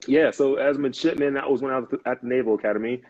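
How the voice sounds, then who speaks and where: A man's voice: mid-range voice, steady tone, fast talker, darkish tone. A man in his thirties, a Navy officer guest on a live interview, talking easily with many fillers and restarts. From United States. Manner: easygoing, laughs often, many "um"s and restarts. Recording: dull, lo-fi video call audio.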